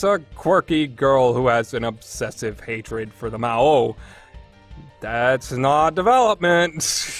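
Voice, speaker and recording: A man giving a casual, opinionated review as a podcast host, with a sarcastic, exasperated manner. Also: fairly high pitch.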